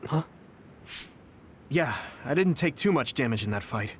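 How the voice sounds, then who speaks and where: A man's voice: mid-range voice, expressive, a little slow, fairly bright tone, slight background noise. A man voicing an earnest, determined young platoon commander in a video game, polite and emotive. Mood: puzzled. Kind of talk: video game cutscene acting.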